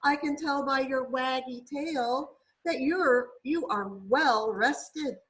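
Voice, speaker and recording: A woman's voice: sits high, slow pace, fairly bright tone, rough recording. A woman voicing a cheery, grandly enthusiastic little female bird teacher in a storybook, chirpy and theatrical.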